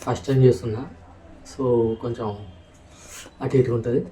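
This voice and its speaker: A man with a Telugu accent doing a casual, unscripted product walkthrough in a hesitant, halting way, mixing in English words. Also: medium pitch, level tone, very noisy. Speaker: vlogger presenting a product unboxing.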